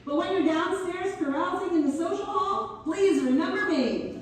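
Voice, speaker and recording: Echoey, gracious lady orator acted by a woman. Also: very high-pitched. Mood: humble, playful. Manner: measured, deliberate, playful oratory. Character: gracious, wryly humorous married woman benefactor giving a dedication speech. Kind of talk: theatrical read-through of a formal speech. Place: theater hall, live staged reading. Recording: echoey hall from far off, faint noise.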